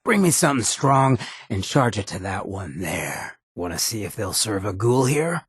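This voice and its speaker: An actor playing a swaggering, sardonic ghoul gangster companion in a video game, with a gravelly rasp and a wry, streetwise drawl. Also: male voice, lowish voice, very animated, moderate pace, no echo, studio quality, heavily acted. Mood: wry, casual. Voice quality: gravelly, raspy.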